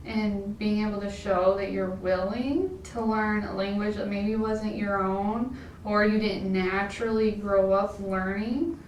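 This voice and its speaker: A woman's voice: mid-range voice, steady tone, slow. A woman, giving thoughtful, informal interview answers as she thinks aloud. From American Midwest. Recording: echoey room, distant mic, faint noise.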